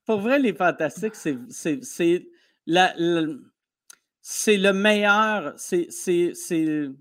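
Animated Québécois comedian man. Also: high voice. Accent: Québécois French. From Quebec. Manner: animated storytelling, fast with restarts. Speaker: comedian guest. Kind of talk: casual podcast interview.

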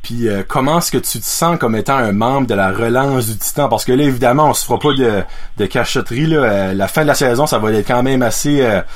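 A man's voice: mid-range voice, fairly quick. A man with a Quebec French accent hosting a casual sports interview, chatty, with frequent fillers and laughs. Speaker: radio show host.

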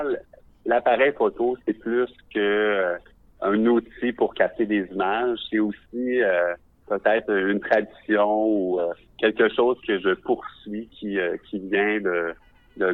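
A man from Quebec with a Québécois accent, a visual artist telling his story for a podcast in a reflective, measured way, often pausing to search for words. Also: lowish voice, no room sound. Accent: Québécois French. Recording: narrow phone-like sound, dry.

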